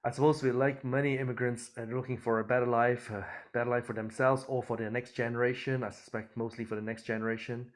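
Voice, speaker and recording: A man's voice: mid-range voice, flat delivery, fast talker, few pauses. A middle-aged man from Hong Kong, a church elder, answering interview questions conversationally.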